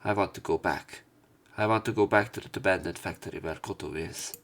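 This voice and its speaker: A man's voice: low voice, fairly quick, fairly bright tone. A man reading visual novel story text aloud as a let's play narrator, with some commentary. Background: game music.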